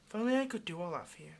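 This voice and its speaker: A man's voice: high-pitched, vocal fry, slight background noise, heavily acted. A man playing a lonely, clingy yandere demon in an intimate audio roleplay, speaking tenderly and hesitantly straight to the listener with obsessive devotion.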